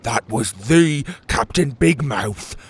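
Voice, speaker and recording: A man voicing a timid young male platypus with a high, breathless way of talking. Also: expressive, slow pace, vocal fry, breathy edge, heavily acted. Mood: nervous.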